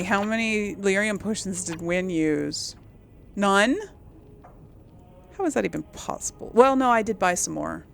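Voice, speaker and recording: A woman doing casual, chatty video game commentary with a creaky edge to her voice. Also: fairly low pitch, a little hiss or hum. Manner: chatty, talks to the audience. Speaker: gaming channel host playing through a role-playing game. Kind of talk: casual gameplay commentary with in-character dialogue read-alongs.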